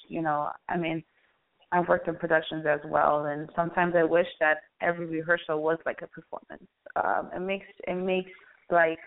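A woman hosting an interview in a casual, rambling way, often searching for her words. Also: deep voice. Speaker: interviewer, radio-style show host. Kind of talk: casual chat. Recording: thin phone-like band-limited sound.

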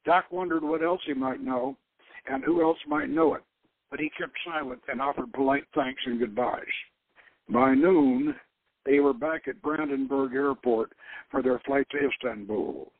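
A man's voice: mid-range voice, unhurried, dry recording. A man giving a dramatic reading of a novel as narrator, stumbling now and then. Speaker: host reading own novel aloud. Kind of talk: dramatic audiobook-style narration. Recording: dull, lo-fi radio sound.

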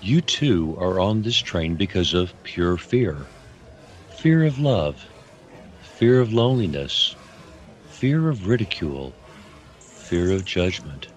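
Male actor as wise fatherly guide in fantasy audio drama: very low, unhurried, gentle, reassuring. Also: very animated, full character voice. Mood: earnest, reassuring. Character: calm, wise, fatherly mystery man guiding souls on a train.

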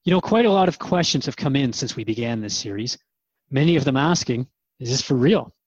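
A man's voice: medium pitch, expressive, a little fast, a little mellow, slightly rough, no echo. Dry, slightly muffled male radio host. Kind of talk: hosted radio interview program.

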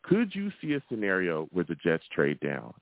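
Animated low-voiced male sports host. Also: moderate pace, gravelly, no echo, phone-quality sound. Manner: emphatic, repeats phrases for effect. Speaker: host of a live sports call-in show. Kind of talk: animated sports talk with callers.

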